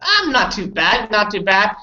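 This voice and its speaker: A woman's voice: mid-range voice, sing-song, measured pace, bright, forceful tone. A woman with a Canadian accent leading an informal online English lesson, repeating and rephrasing simply for learners. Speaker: English conversation teacher. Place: indoors on an online group call. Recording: dull roomy online call audio.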